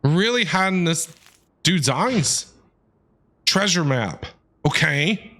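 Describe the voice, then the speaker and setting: Animated male game streamer reacting. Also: fairly high pitch, takes it slowly. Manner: excitable, interjecting, thinking aloud. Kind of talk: casual reaction commentary.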